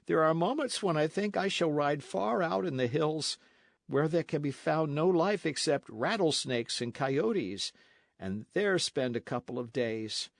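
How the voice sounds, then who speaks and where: A lazy young gentleman who drawls his words slowly and sighs at every exertion. A man voices him in a storybook reading. His voice is a little raised, with a gravelly edge and lively pitch, recorded dry and close. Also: dry recording. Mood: languid. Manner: slow, sighing, mildly weary. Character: languid idle young male nobleman.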